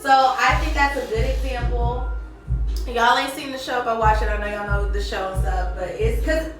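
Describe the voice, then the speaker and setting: An American woman chatting casually as an interviewer, with an animated, emphatic, slangy way of talking. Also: fairly high pitch, moderate pace. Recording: echoey room, distant mic, music behind. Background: music.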